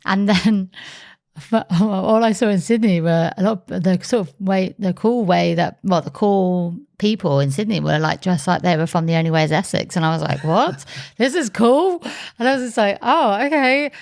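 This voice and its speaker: A woman's voice: mid-range voice, lively intonation, normal speed, gravelly, a little mellow. A woman co-hosting a podcast, chatting casually and laughing easily, with plenty of fillers. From England. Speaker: podcast co-host.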